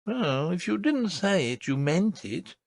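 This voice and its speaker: A man's voice: high-pitched, very animated, measured pace, gravelly, no echo, clean studio sound, pitched above the performer's own voice, full character voice. A man doing a cross, fussy old nurse. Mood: vexed. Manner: cross, scolding tone. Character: fussy, easily vexed woman nurse.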